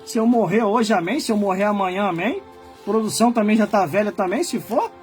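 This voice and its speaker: A man in his forties from Brazil, a pastor preaching a fiery sermon that swings from quiet muttered asides to loud shouted warnings. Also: high voice, lively intonation, a little fast, soft background music. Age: 40s. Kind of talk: fiery Pentecostal-style sermon.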